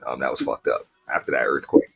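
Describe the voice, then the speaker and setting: A man's voice: a bass voice, fairly even intonation, fast, vocal fry, over music. A man hosting a casual live-stream talk show, speaking bluntly with a lot of profanity. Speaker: host.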